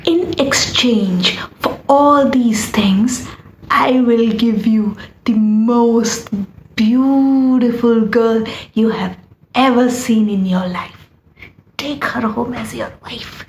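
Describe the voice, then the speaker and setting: A woman's voice: on the high side, slow, a little mellow, roomy sound, slight background noise. A woman voicing a wicked sister-in-law in a folktale, sly and conspiratorial as she schemes. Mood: sly, scheming.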